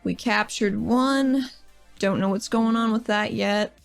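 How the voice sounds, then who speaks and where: A woman's voice: mid-range voice, slow, vocal fry, soft background music. A woman playing her character in a tabletop game, speaking in a casual, rambling way as she recaps events. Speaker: player.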